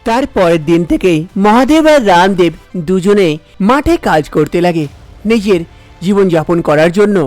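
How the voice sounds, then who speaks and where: A man's voice: high voice, expressive, moderate pace, darkish tone. A man narrating a scripted cartoon folk story. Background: faint background music.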